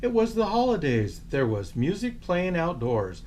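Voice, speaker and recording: Animated male storyteller with lively pitch. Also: measured pace, slight background noise. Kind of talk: children's picture book read-aloud.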